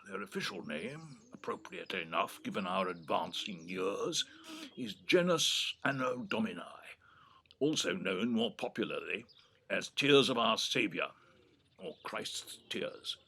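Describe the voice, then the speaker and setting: A man's voice: lowish voice, very animated, measured pace, vocal fry, a bit bright, breathy edge, exaggerated voice. An older man with an English accent playing a dry, stubborn elderly gardener in an audio play, speaking in clipped phrases. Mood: dryly enthusiastic. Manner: dry, clipped, lecturing on plants. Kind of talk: naturalistic audio play acting. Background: birdsong.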